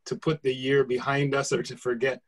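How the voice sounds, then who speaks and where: A man's voice: mid-range voice, little pitch movement, brisk, a crowd in the background. A man, moderating a casual panel and prompting guests with questions. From United States. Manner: friendly, prompting, with some restarts.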